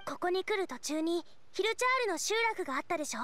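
A woman playing a cheerful, eager teenage girl chef in an anime game, with energetic, expressive and friendly delivery. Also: extremely high pitch, fast, no echo. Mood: concerned, urgent. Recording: studio voice over game music.

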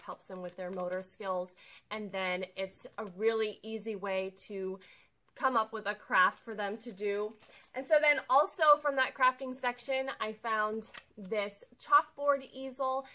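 A woman's voice: medium pitch, pushed and bright. A young woman vlogging casually in her living room, chatting upbeat as she explains each item of a shopping haul to the camera. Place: living room with a sofa, indoors.

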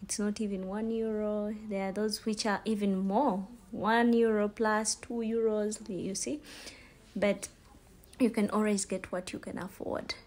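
A young woman with a Ugandan accent narrating a casual vlog in an informal, halting, repetitive way. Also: medium pitch, a little mellow. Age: young adult. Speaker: vlogger, student. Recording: store ambience noise, handheld phone. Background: faint ambient noise.

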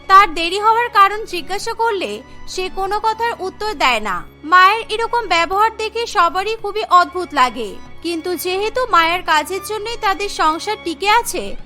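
A woman's voice: very high voice, bright, forceful tone, full character voice. A woman narrating a scripted family cartoon story. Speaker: narrator and voice of female cartoon characters.

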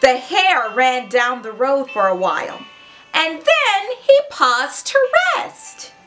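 A woman in her thirties narrates a storybook for young children in a high, bright voice. Her delivery is expressive, teacherly and unhurried. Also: soft background music, low-quality recording. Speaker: children's read-aloud host. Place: furnished room indoors.

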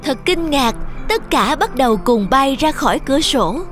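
A woman's voice: high-pitched, animated, moderate pace. A woman narrating a scripted fairy tale for children as the storyteller. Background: music.

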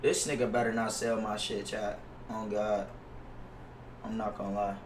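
A man's voice: lowish voice, little pitch movement, moderate pace. A young American man chatting casually to livestream viewers as a game streamer, slangy and profane, often repeating himself. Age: young adult. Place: indoor room at a gaming setup. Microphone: gaming headset mic. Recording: roomy, distant headset mic with noise.

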